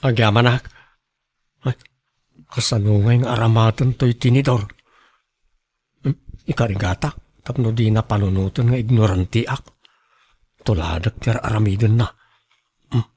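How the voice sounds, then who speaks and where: A man's voice: lowish voice, fairly even intonation, normal speed, pauses often, darkish tone, slightly rough. An actor playing a humble, insecure young working man in love, earnest and hesitant, in an Ilocano radio drama. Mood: anxious muttering.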